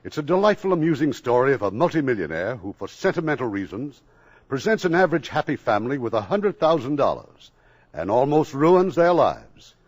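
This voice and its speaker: A man's voice: medium pitch, very animated, a little fast, highs cut off. A man hosting a 1950s radio drama show, speaking in a genial, polished announcer's manner. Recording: old radio broadcast with faint music.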